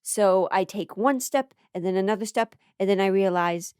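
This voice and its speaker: A woman's voice: mid-range voice, normal speed, darkish tone, clear, resonant tone, no echo, clean studio sound. An American woman telling a casual comedic stand-up story, playful and theatrical, stretching words for emphasis and dropping mock-serious asides.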